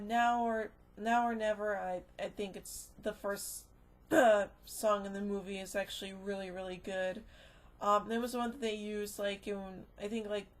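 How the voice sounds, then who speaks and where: A woman giving a casual, unscripted review, rambling and halting as she goes, often trailing off into filler phrases. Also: mid-range voice. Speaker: movie reviewer.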